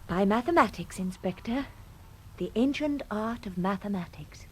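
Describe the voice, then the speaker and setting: A woman's voice: mid-range voice, lively intonation, moderate pace, heavy background noise, natural voice. A woman playing an assured, clever lady in a radio drama, explaining insistently and confidently.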